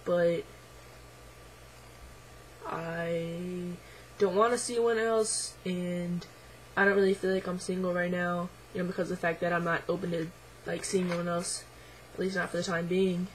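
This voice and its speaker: A man's voice: little pitch movement, moderate pace, slight background noise, poor audio. Young man with a changing voice. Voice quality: voice midway through deepening, a bit unsettled. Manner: hesitant, lots of ums and likes, quiet and reflective. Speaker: vlogger. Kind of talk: casual personal video diary.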